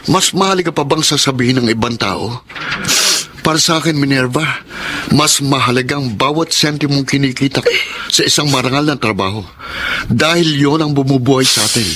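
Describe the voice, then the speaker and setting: A man's voice: medium pitch, fairly quick, pushed and bright. An actor playing a proud, hardworking young Filipino husband and father in a radio drama, speaking earnestly and insistently. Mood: hurt, insistent.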